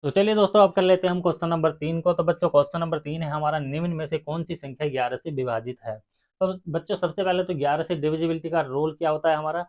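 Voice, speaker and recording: A man's voice: fairly high pitch, fairly even intonation, quick pace. A man teaching maths step by step, addressing his students directly and counting sums out aloud. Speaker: maths teacher for exam aspirants. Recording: muffled, phone-like narrow sound.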